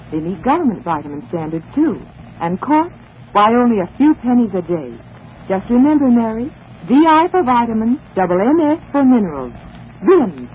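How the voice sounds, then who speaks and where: An actress playing an enthusiastic housewife advising a friend in a 1940s radio commercial. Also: female voice, on the high side. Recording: tinny, noisy old radio broadcast.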